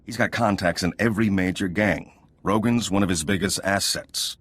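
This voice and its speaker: A man's voice: low voice, moderate pace, a bit bright, slightly rough, heavily acted. An actor playing a wry, sardonic male underworld operator in a video game. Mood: urgent. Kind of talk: video game cutscene acting.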